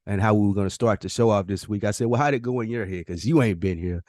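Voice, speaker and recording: Low-voiced American man hosting, close and dry. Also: fast, a little mellow, dry recording. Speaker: podcast host. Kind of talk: casual chat.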